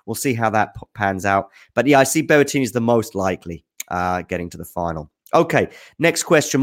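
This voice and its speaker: A man with an average-pitched voice talks through viewer questions as host of a sports show. He thinks out loud, speaks off the cuff and sounds keen on the players he is discussing. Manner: thinks aloud, off-the-cuff and enthusiastic. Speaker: tennis news host answering viewer questions. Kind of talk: casual spoken commentary.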